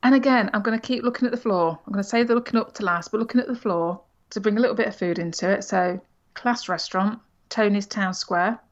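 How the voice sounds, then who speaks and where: A woman's voice: mid-range voice, fast. Playful woman on a dull line. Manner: playful, chatty. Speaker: podcast co-host. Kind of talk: casual podcast chat.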